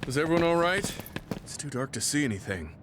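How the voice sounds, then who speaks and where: An actor playing a steady, protective, experienced soldier in an anime game, measured and modest. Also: male voice, a little high, noisy background. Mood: steady, concerned.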